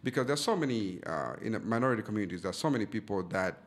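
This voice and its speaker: A man from Zambia, a guest on a studio talk show, telling a story in a relaxed, gracious way. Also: lowish voice, fast talker. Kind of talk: casual interview chat. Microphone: studio mic.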